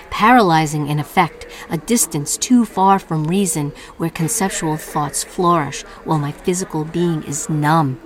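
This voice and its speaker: A woman playing a brooding, self-doubting artist in an introspective dramatic monologue, delivered with theatrical, deliberate intensity. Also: deep voice, natural voice.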